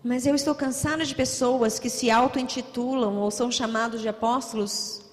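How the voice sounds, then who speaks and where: A Brazilian woman with a São Paulo accent interprets a sermon live in a church hall. She talks quickly and fluently, her voice high and bright, with a long reverb hanging behind her words. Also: fairly even intonation. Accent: São Paulo Portuguese. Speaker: interpreter and pastor.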